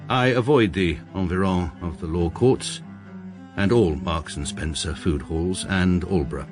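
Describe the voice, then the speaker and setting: A pedantic, pompous English barrister. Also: male voice, low-pitched, unhurried, music in the background. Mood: dry. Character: precise pompous English barrister, middle-aged man. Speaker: narrator and lead character. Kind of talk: radio drama narration.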